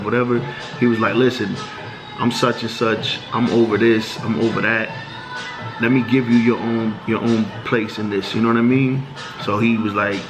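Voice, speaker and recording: Laid-back New man telling stories. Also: medium pitch. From New York. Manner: laid-back street slang, acts out quoted dialogue. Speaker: channel host telling prison stories. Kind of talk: casual storytelling monologue. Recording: music playing under the voice.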